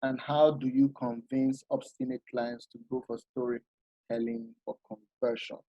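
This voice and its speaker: A man leads a masterclass in an animated, explanatory way. His voice is husky and slightly breathy, and the sound is dry and close. He often stops and restarts his sentences. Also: medium pitch, unhurried, no room sound, muffled recording. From Nigeria. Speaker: masterclass speaker. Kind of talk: informal talk at a masterclass.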